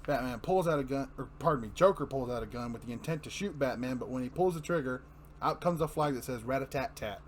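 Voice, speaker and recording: A man's voice: medium pitch, fast talker, a little hiss or hum. A man hosting a show, narrating and chatting casually about what he reads. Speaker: host and reader. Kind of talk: casual narration and review chat.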